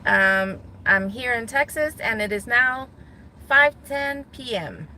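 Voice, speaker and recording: Woman, from Guam, casual vlog chat, bright tone, faint car noise. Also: a little high, expressive, slow. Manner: chatty, laughs easily, thinks out loud. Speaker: gig delivery driver vlogger. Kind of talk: casual talking to viewers while driving. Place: inside a parked car.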